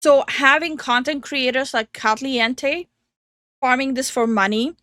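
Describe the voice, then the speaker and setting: A young adult woman with a hint of an Indian English accent, co-hosting in casual chat, animated and quick to laugh. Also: sits high, dry recording, studio quality. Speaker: co-host reacting to online drama.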